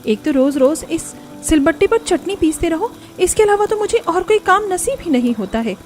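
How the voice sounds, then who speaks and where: A woman's voice: very high-pitched, lively intonation, normal speed, faint music behind, pitched above the performer's own voice. A woman voicing an overworked young daughter-in-law in a Hindi family cartoon. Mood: irritated. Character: young daughter-in-law, overworked, grumbling about kitchen chores.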